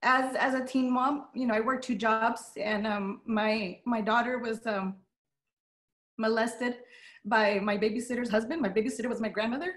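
Woman guest, Spanish as first language, somewhat high voice, candid and hesitant, echoey room. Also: moderate pace, a bit bright. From Las Vegas, USA. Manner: hesitant, many ums, candid and emotional. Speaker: podcast guest. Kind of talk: personal storytelling in an interview. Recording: roomy echo.

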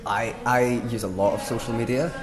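A young man, co-hosting a casual podcast in a busy cafe, talking loudly with a wry, joking manner. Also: medium pitch, steady tone, moderate pace, roomy sound, in a large hall, crowd noise. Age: young adult. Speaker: podcast co-host. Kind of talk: casual chat.

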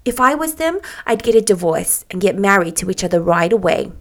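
A worldly young gossip from a storybook, played by a woman narrator. She confides eagerly and emphatically, with a very animated melody to her speech. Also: mid-range voice, a little fast. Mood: confiding.

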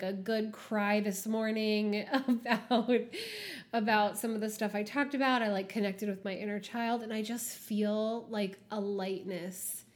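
A young woman talks casually on her solo podcast at a middle pitch, filling her sentences with "like" and small laughs. Her voice comes out stuffy and slightly husky, as she is under the weather. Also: darkish tone. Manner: chatty, frequent "like" fillers, laughs often. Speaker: podcast host.

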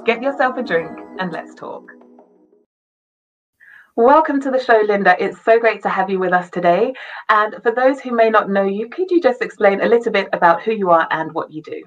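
A woman's voice: mid-range voice, normal speed, lo-fi sound. Woman podcast host, chatty and encouraging, laughs easily, faint music under her. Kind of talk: conversational podcast interview.

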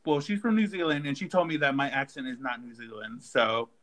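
A man chatting casually with friends, animated and joking, with lots of "like" fillers. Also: medium pitch, a little fast. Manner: animated, joking, uses "like" often. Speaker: group chat member. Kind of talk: casual group chat.

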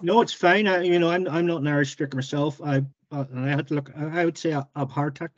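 A man being interviewed about his writing, speaking in a relaxed, plain way with some stumbles and repeated words over a remote call. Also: a little high, fast, no room sound, dull audio. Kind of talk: casual interview chat.

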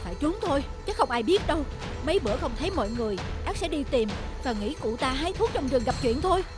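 A woman voicing a dramatic, boastful, guilt-ridden young male hunter in an animated folk tale, given to exclaiming and talking to himself. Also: high voice, quick pace. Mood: panicked. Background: music.

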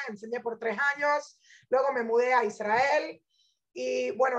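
A woman, teaching through personal stories in an informal religious talk, dropping in English phrases. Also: a little high. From born in Venezuela, grew up in Panama. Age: 30s. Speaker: Torah teacher. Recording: dull lo-fi audio.

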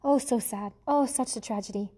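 A vain, sighing mother mouse with a French accent , as a woman puts her on. She is loud and a little high, and her tone stays warm and mellow. She plays for sympathy in a storybook way. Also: moderate pace, husky. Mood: melodramatic. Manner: theatrical, sighing, self-pitying.

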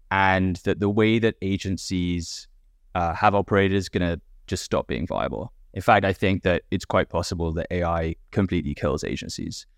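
British guy, kinda americanized, low voice, dry close sound, says like a lot. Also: no room sound. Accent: British, softened by years in America. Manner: relaxed, explanatory, leans on "like" and "basically". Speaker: startup founder guest. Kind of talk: casual podcast interview.

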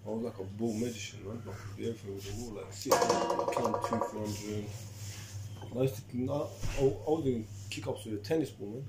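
A man's voice: fairly low pitch, normal speed. A young man from Turkey being interviewed, talking in a rambling way that repeats itself, in imperfect English. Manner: rambling, repeats himself, speaks broken English. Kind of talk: casual street interview. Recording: roomy with loud background noise.

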